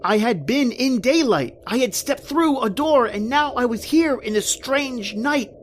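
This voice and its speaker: A woman playing a panicked, bewildered husband lost in a nightmare, with halting, theatrical delivery in an old-style horror radio drama. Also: a little high, lively intonation, a little hiss or hum. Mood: bewildered, haunted. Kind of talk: dramatic first-person horror radio narration and acting.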